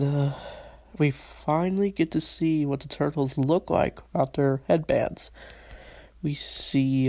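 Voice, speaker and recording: A man's voice: fairly high pitch, warm tone. A man with a stuffy, under-the-weather voice, eagerly recapping a cartoon episode in a casual solo review.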